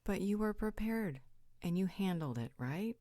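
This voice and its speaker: A woman's voice: mid-range voice, lively intonation, moderate pace, mellow, no echo, clean studio sound, close to an everyday voice. A woman playing a perceptive, frank confidante in an audio roleplay, reasoning in a direct, insistent way.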